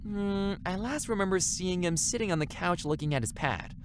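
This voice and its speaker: A woman playing a cheerful, scatterbrained, star-struck partygoer in a comic audio drama, excitable and gushing. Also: on the low side, normal speed, a little hiss or hum, close to an everyday voice. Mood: pondering.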